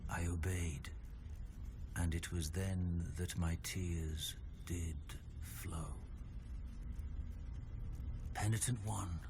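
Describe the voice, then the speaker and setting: A sorrowful old undead confessor from a dark fantasy game. His very low voice moves slowly and gravely through archaic phrases. Clear ambient noise sits behind him. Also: fairly even intonation, exaggerated voice. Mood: mournful. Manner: grave, archaic, drawn-out and deliberate.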